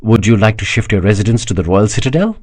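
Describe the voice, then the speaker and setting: A man's voice: on the low side, animated, fast, a little mellow. A man reading a proud, devout emperor who speaks with solemn courtesy and rising passion. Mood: courteous. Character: proud emperor, older man, fervent believer.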